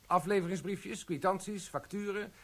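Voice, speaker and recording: An actor playing a polite but pointed military police sergeant in a radio play. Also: male voice, sits high, normal speed. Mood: cool.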